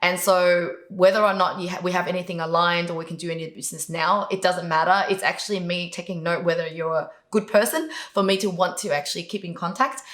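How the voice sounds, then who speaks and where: A woman's voice: on the low side, fairly even intonation, fast, hardly any pauses, fairly bright tone, roomy sound. Chatty woman from Vietnam. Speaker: host, business coach. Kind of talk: casual interview answers.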